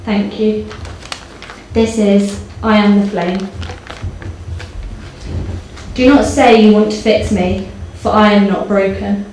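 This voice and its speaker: Echoey, even-toned young woman on stage. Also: medium pitch, slow pace, long pauses. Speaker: poet at own book launch. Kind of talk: prepared speech and poetry recital. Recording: echoey hall, faint background noise.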